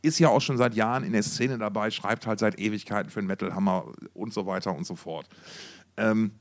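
A man's voice: lowish voice, a little fast. A man co-hosting a casual podcast, telling anecdotes in a rambling, wry way with mock-formal asides. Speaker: podcast co-host.

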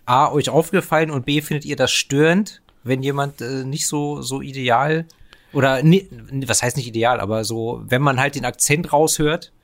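A man's voice: medium pitch, fairly even intonation, normal speed. A man co-hosting a music podcast, chatting casually in a wry, bantering manner. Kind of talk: casual chat.

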